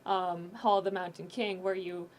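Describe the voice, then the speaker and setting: A woman's voice: on the low side, flat delivery, normal speed, slight background noise. A woman guest on a casual podcast, explaining how her audio drama project works, thinking aloud with some restarts.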